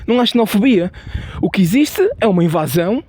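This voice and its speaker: A man with a European Portuguese accent giving an unscripted opinion monologue, halting and repetitive with many restarts and emphatic stress. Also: high voice, lively intonation, a little mellow, slight background noise. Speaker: video commentator.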